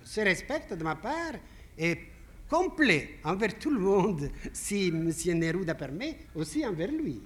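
A man's voice: high-pitched, very animated, measured pace, a bit bright. A man addressing a literary gathering in an emphatic formal manner, stumbling and repeating words. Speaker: conference speaker.

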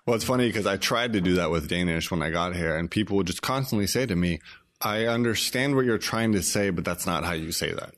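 A man's voice: deep voice, fast talker, a bit bright. A man hosting a casual interview chat, easygoing and chatty. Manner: easygoing, chatty, laughs often. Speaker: podcast host.

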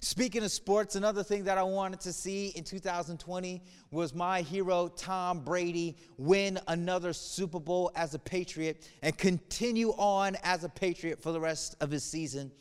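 A man in his thirties with a smooth, somewhat high voice speaks earnestly to a congregation, easing from light humor into sober reflection. His voice rings with a long echo from the room. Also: steady tone, moderate pace, hardly any pauses. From United States. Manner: earnest, shifts between playful humor and somber reflection. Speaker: church speaker. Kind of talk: video message to a congregation. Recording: reverberant room.

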